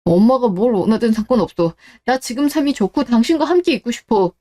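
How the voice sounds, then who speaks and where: A woman's voice: on the high side, lively intonation, brisk, dark tone, natural voice. Radio drama husband, voiced by a woman: earnest, intense, loyal to his wife, wounded and embittered. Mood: pleading. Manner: earnest, emotional. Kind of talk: melodramatic radio drama dialogue.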